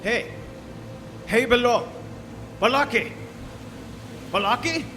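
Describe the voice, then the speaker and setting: Casting: male actor as scared rough sailor, high voice, rustic talk, radio play, long reverb. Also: slow. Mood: urgent calling. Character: rough, frightened male deckhand on a sailing ship. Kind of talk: live gothic horror radio drama acting. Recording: reverberant stage, music behind.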